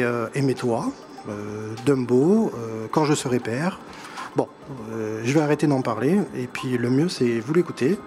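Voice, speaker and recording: A man's voice: medium pitch, a little mellow. A man with a slight accent in French, giving an enthusiastic, chatty music column on a live show. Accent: non-native accent in French. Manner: chatty, enthusiastic, laughs at himself. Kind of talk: casual live-show chronicle.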